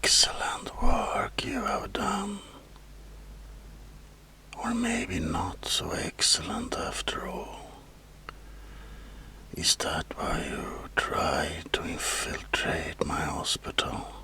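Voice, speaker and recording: Man playing a very slow, whispering menacing asylum doctor. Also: sits low, wildly swooping pitch, pushed and bright, full character voice. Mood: mocking, contemptuous. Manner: slow, deliberate, mocking. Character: menacing, condescending male head doctor of an asylum. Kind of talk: whispered ASMR horror roleplay.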